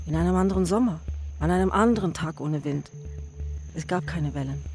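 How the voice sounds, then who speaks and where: An actress playing a grave woman who recalls her starved husband's return from war, in a sober, measured radio drama monologue. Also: sits low, darkish tone. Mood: quiet, remembering. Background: music.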